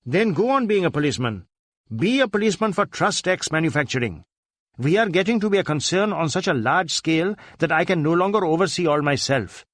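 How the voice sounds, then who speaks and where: A man's voice: a little high, lively intonation, brisk, a bit bright, dry recording, clean studio sound, pitched above the performer's own voice. A man voicing a domineering, impatient wealthy Indian businessman in an audiobook, barking commands with an Indian accent. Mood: pressing, coaxing. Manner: imperious, barking short repeated commands.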